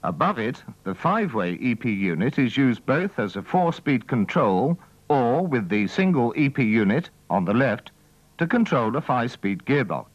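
A man's voice: mid-range voice, sing-song, moderate pace, dry recording. Man narrating a dull-sounding old training film. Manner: methodical, formal delivery. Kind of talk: scripted instructional narration.

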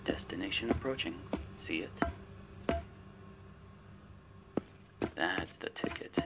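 A man's voice: low-pitched, lively intonation, normal speed, over loud music. A man playing a smug, menacing but playful artificial intelligence guiding a spy in an ASMR roleplay, wry, teasing and theatrical. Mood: wry, commanding. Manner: wry, teasing, theatrical, often dropping to a whisper.

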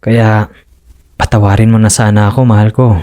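Actor as a remorseful, earnest Filipino husband. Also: male voice, fairly low pitch, little pitch movement, moderate pace, dark tone, natural voice. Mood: pleading, loving. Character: remorseful fisherman husband, gentle and conciliatory. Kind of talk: radio drama dialogue.

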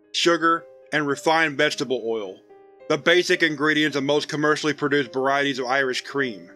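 A man giving a clinical, measured narration of a formal scripted case file. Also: a little high, bright, forceful tone.

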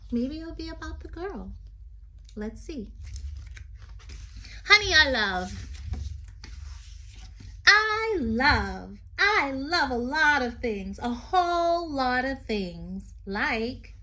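A middle-aged woman reading a poem aloud to young children like a teacher, expressive and sing-song, with chatty asides. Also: high-pitched. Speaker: teacher reading to her class. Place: small room indoors. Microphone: phone at arm's length.

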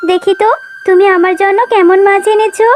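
A woman's voice: very high voice, little pitch movement, brisk, darkish tone, a little hiss or hum. A woman voicing an eager, kind little fox daughter in a children's cartoon, childlike and emphatic. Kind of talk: Bengali children's cartoon dialogue.

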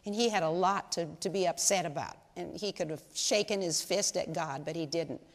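A woman's voice: fairly low pitch, moderate pace. An older woman giving a chatty, folksy talk to a congregation in a large church sanctuary, on a lapel mic. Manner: chatty, folksy, asks the audience questions. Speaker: lay speaker at women's service. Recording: long hall reverb in sanctuary.

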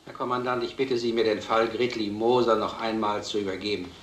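An actor playing an earnest, stubborn police detective, speaking formally and with measured insistence in an old crime film. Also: male voice, fairly low pitch, little pitch movement, slight background noise, close to an everyday voice. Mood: earnest, insistent. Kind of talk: vintage German crime film acting. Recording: roomy old film soundtrack.

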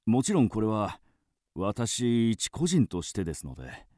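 An actor playing a courteous, guarded police detective in a radio drama, speaking formally and politely. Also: male voice, on the low side, big pitch swings, measured pace, warm tone, no echo, studio quality. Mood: polite, probing.

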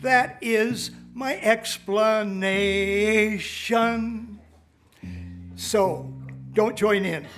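A man's voice: extremely slow, faint music behind. An older man performing a playful spoken piece with bits of song on a small cabaret stage. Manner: playful, sings and shouts. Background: bass and audience.

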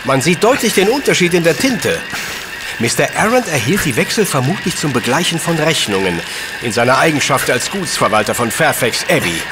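Pedantischer, gebieterischer Professor. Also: male voice, on the high side, big pitch swings, brisk, noisy background. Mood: matter-of-fact, analytical. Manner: pedantic, precise, long formal sentences. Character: brilliant, pedantic male professor detective, coolly commanding. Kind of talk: German audio drama acting.

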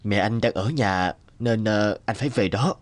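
A man voicing a wealthy company director in a cartoon, smooth-talking, patronising and dismissive. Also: lowish voice, normal speed, dry recording, close to an everyday voice. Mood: evasive. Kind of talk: Vietnamese cartoon dubbing. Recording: guitar music under the voice.

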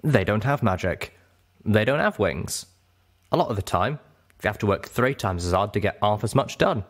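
A man's voice: on the low side, animated, normal speed. A man voicing a friendly male dragon who explains and reminisces as a fond storyteller in an audiobook. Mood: earnest.

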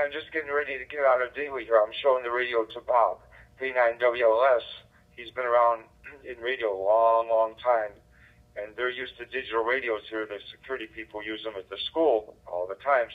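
A man chatting casually as an amateur radio operator over a digital two-way radio. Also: medium pitch. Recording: narrow, phone-like digital radio transmission.

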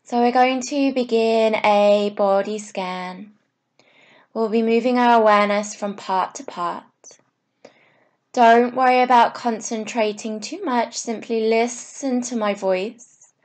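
A woman yoga teacher leading a guided meditation, giving soothing, unhurried instructions in short phrases with pauses. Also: fairly high pitch, fairly even intonation, a little mellow.

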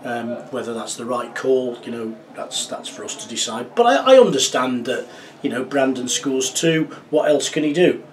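Casting: middle-aged male football manager, thoughtful, halting, recorded a little off-mic in a small office. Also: mid-range voice, animated, distant mic, a little hiss or hum. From England. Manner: measured, reflective, many fillers and restarts. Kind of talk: post-match interview. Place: small club office indoors.

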